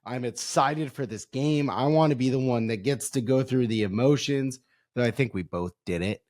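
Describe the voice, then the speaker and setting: A young man co-hosting a casual sports podcast. His voice is hoarse and raspy, and he talks in a laid-back, self-deprecating way. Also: mid-range voice, moderate pace, darkish tone. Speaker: podcast co-host.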